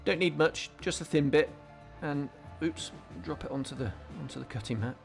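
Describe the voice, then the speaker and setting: Man explaining a model build, unhurried. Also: on the high side, gravelly, faint music behind. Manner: casual, explanatory, frequent ums and restarts. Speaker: scale modelling channel host. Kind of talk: relaxed hobby tutorial voice-over.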